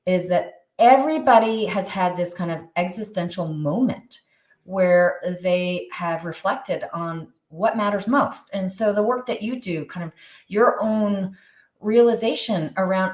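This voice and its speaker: Middle-aged woman guest expert, emphatic and lively, recorded in a roomy, echoey space. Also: lowish voice. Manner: animated, emphatic, frequent restarts. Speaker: guest expert on movement and wellbeing. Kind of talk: conversational interview.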